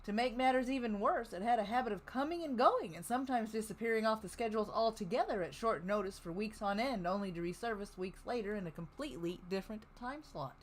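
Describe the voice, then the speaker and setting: A woman hosts a livestream devoted to old-time radio. Her delivery is casual and conversational, shifting at times into read-out introductions, at a middling pitch. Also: lively intonation, fast, few pauses. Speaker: host of an old-time radio listening stream. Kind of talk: casual livestream chat, partly reading show notes.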